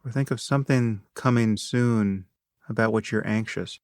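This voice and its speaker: A man narrating a guided meditation in a measured, instructive manner, speaking in short phrases with long pauses between them. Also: fairly low pitch, dry recording, clean studio sound.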